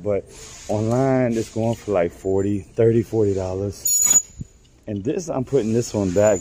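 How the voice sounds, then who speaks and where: A middle-aged man chatting casually in a vlog, slangy and informal, with a raspy voice that is wearing out. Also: on the low side, mellow. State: losing his voice. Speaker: vlogger and clothing reseller. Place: in stores, a parked or moving car, and a room at home.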